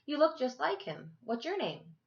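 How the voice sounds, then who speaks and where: A woman voicing a big, brisk, kindly storybook lunch lady with a large voice. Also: sing-song, vocal fry, dull audio, heavily acted. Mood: brisk, friendly. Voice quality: large, booming voice. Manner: bossy but affectionate, addressing small children.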